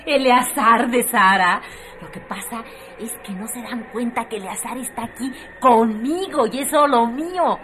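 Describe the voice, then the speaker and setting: A woman's voice: a little high, lively intonation, slow pace, fairly bright tone. A woman with a Mexican accent playing a possessive, defiant lover in a rural radio drama, recorded with noise. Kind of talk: theatrical radio drama acting.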